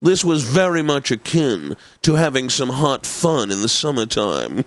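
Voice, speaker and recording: An actor playing a pompous, genteel classical radio DJ in a game, announcing with theatrical, drawn-out phrasing. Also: male voice, medium pitch, big pitch swings, dry recording, rough recording. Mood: wistful, wry.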